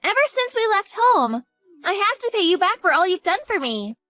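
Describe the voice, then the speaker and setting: A woman's voice: very high voice, big pitch swings, moderate pace, pushed and bright, no echo, soft background music, heavily acted. A woman voicing a devoted college-age daughter who talks earnestly and grows panicked in a dramatized story.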